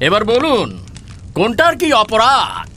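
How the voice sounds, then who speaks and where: Man voicing a fawning cartoon courtier, bombastic, high-ish, swooping pitch. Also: huge pitch swings, unhurried, sharp tone, slight background noise, heavily acted. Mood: mocking. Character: flattering male court official, fawning. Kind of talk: animated cartoon voice acting.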